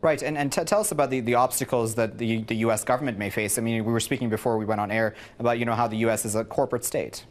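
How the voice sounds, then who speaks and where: A man's voice: mid-range voice, steady tone, fast, few pauses. A man presenting the news, reading reports and putting questions to a guest in a broadcast style.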